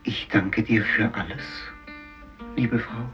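A man's voice: lowish voice, fairly even intonation, takes it slowly. An actor playing a devoted fisherman husband of few words, terse and subdued, in a radio drama. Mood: tender. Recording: dull radio recording with music.

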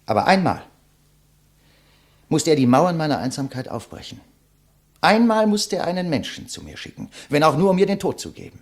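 A man's voice: a little high, sing-song, moderate pace, long pauses. An actor playing a proud, defiant writer facing his executioner, eloquent and sardonic, in the style of a 1950s German radio play. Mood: impassioned, defiant.

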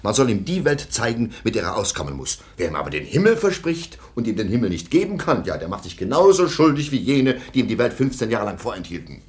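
An actor playing a stern, proud baron and anxious guardian in a German radio drama, speaking with formal, indignant courtesy. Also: male voice, on the low side, big pitch swings, fast talker, few pauses.

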